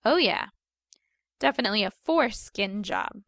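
A woman's voice: medium pitch, expressive, measured pace, a bit bright, no room sound. A cocky young woman who fights monsters, acted by a story reader talks in a breezy, teasing way. Her delivery is casual, in a close, dry sound. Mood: amused.

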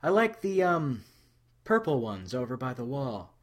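Man reading as a weary, frank older woman, husky. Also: mid-range voice, very animated, measured pace. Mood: coaxing, casual. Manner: conversational, coaxing. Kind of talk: audio fan fiction reading.

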